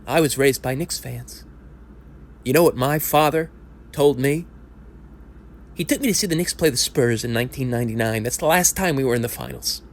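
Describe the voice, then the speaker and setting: A man plays a thirty-something sports fan who wallows in hopelessness, confessing at a support group. He rambles, mocks himself and builds into indignant rants in a normal-pitched voice. It is a homemade audio play with a faint hiss behind. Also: normal speed, long pauses, natural voice. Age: 30s. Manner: rambling, self-deprecating, rising into indignant rants. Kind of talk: amateur audio play acting.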